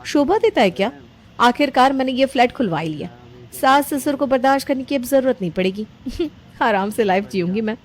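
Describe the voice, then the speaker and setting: A woman voicing a scheming, sharp-tongued young daughter-in-law who complains and manipulates in a story drama. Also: fairly high pitch, expressive, a little fast, close to an everyday voice. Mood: smug.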